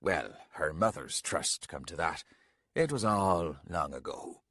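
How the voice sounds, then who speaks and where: A man voicing an old, bent castellan who speaks low, steady and patient. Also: unhurried, no echo, clean studio sound, full character voice. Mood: quiet.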